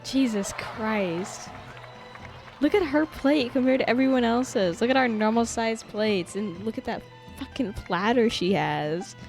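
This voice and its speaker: A young woman streamer chatting casually over her gameplay, giggling often and swearing offhandedly. Her voice is a little high and she talks slowly and loosely, with game music underneath. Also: animated, creaky, darkish tone.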